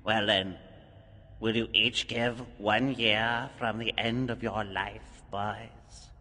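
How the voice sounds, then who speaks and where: An actor playing a mysterious, grave bargain-maker in a dramatized audiobook, coaxing and solemn in deliberate, theatrical phrases. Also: male voice, fairly low pitch, fairly even intonation, sharp tone, heavily acted. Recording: long hall reverb, music underneath.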